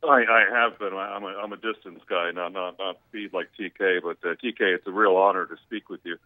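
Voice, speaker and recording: A man's voice: low-pitched, fairly even intonation, fairly quick, no room sound. A man co-hosting a radio interview, asking polite, long-winded questions. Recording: tinny phone line.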